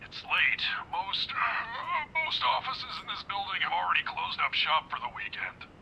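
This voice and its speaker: A woman plays an older male night guard in a visual novel, creaky and breathy, friendly but wary. The pitch swings about freely, over clear background noise. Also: wildly swooping pitch, measured pace, exaggerated voice. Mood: wary, questioning.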